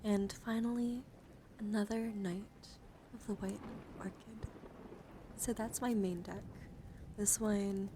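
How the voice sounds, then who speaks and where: A woman hosting an ASMR livestream, speaking softly and casually at a close microphone in an unhurried show-and-tell style with murmured, whispery asides. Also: mid-range voice, steady tone, background noise. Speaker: livestream host. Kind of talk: soft-spoken ASMR card show-and-tell.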